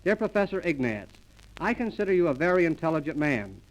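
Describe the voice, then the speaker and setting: Male radio comedy host, high-pitched, mock-formal, on an old 1930s transcription disc. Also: sing-song, a little fast, dull audio. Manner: mock-formal announcer delivery.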